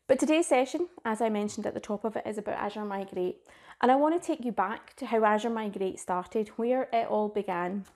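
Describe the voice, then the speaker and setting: A woman's voice: medium pitch, a little mellow. A Scottish woman in her thirties, a cloud advocate giving an enthusiastic, chatty, informal presented tech talk to a desk webcam in a small room. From Scotland. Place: small indoor room with a plain wall.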